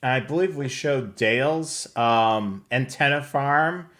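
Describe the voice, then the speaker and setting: A man's voice: mid-range voice, slow pace, fairly bright tone. American man casually hosting a livestream. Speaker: host of a radio scanner show. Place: indoor home room on a video call.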